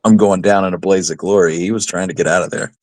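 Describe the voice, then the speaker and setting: Male co-host, low-pitched voice, relaxed conversational delivery for informal discussion, very dry sound. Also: fast, dry recording. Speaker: radio show co-host.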